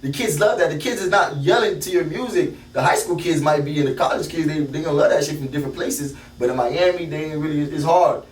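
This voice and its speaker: A rapper with a South Florida accent talks with quick, animated slang and a fairly high voice. He tells his stories fast. The sound is roomy, with the mic set some way off. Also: distant mic. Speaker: rapper guest. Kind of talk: casual interview chat.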